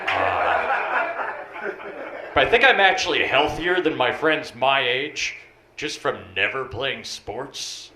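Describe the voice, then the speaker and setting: A man in his thirties with a deep voice, telling dry, understated stand-up one-liners on a bar stage. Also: very animated, slow pace, gravelly, bright, forceful tone. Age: 30s. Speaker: stand-up comic. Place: small bar comedy stage. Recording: roomy bar with crowd noise. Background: audience laughter.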